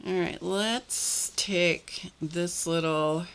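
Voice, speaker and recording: Slow, low-voiced woman demonstrating crafts. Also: clear, resonant tone. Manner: relaxed, chatty, talks while working with her hands. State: slightly croaky voice. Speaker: art journaling host.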